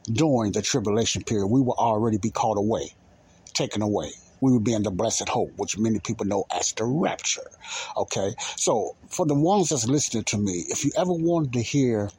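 A man with a fairly low, distinctly husky voice hosts a religious podcast. He talks informally, part preacher and part presenter, and often repeats phrases for emphasis. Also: darkish tone. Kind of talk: informal preaching talk introducing a recording.

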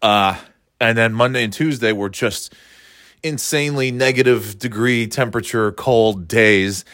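A middle-aged man with a slightly stuffy nose, hosting a rambling solo chat, meandering and breaking off mid-thought, then getting playfully excited. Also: medium pitch, measured pace, no room sound. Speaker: podcast host.

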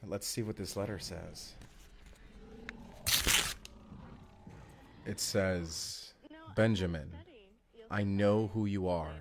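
An actor playing an earnest, anxious young man stranded from the future, talking in a hesitant, rambling, conversational way in an audio roleplay. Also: deep voice. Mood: intrigued, uneasy. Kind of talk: first-person audio roleplay acting. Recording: music behind the voice.

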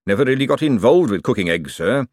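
A man's voice: medium pitch, expressive, quick pace, darkish tone, no room sound, studio quality, pitched above the performer's own voice, close to an everyday voice. A man voicing a nervous, servile male chef in an audiobook, hesitant and deferential. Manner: hesitant, servile, repeatedly saying "sir". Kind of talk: audiobook character dialogue.

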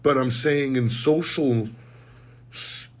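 A man's voice: mid-range voice, slow. A man co-hosting a casual podcast, rambling with many fillers and trailing off. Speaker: podcast co-host. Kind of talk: casual chat.

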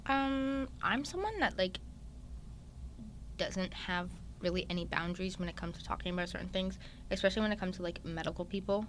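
A young man, a guest in a casual chat, talking openly and at length with lots of fillers and animated retellings. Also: high-pitched, a little fast, a little hiss or hum. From Newfoundland, Canada. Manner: chatty and open, many "like" fillers, animated retellings.

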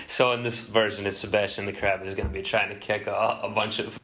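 A man's voice: fairly low pitch, flat delivery, normal speed, pushed and bright. A man playing a cocky, slippery little sidekick in improvised comedy banter, smug and needling but hesitant.

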